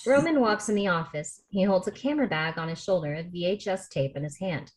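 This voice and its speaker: A woman reading a script's stage directions aloud in a steady, matter-of-fact way for a table read over a video call. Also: lowish voice, a little fast, poor audio.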